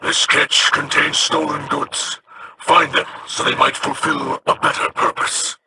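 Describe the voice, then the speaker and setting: A man voicing a grave, weary alien pirate captain in a video game, with a gravelly, formal delivery. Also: fairly high pitch, little pitch movement, measured pace, natural voice. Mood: grave, urgent. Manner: solemn, formal. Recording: noisy game audio.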